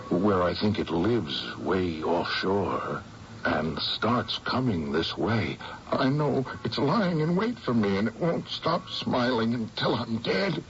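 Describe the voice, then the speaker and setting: An actor playing a haunted, weary man of forty in a radio drama, halting, brooding and dramatic. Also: on the low side, heavily acted. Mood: haunted, fearful. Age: 40s. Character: haunted, weary forty-year-old man, convinced he is doomed. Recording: dull, slightly noisy vintage radio recording.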